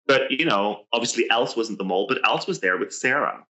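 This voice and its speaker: A man's voice: medium pitch, animated, a little fast, sharp tone. A man co-hosting a podcast, talking casually and hesitantly, often restarting his sentences. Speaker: podcast co-host. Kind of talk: casual chat about a reality show.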